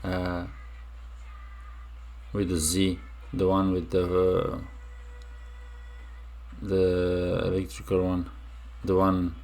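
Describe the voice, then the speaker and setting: A young man from Romania talks through a reaction video in a low, gravelly voice with plenty of vocal fry. He speaks slowly and haltingly, trailing off and restarting his thoughts as he muses aloud. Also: completely flat delivery, darkish tone. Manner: musing, halting, with many fillers and restarts. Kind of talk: casual reaction commentary.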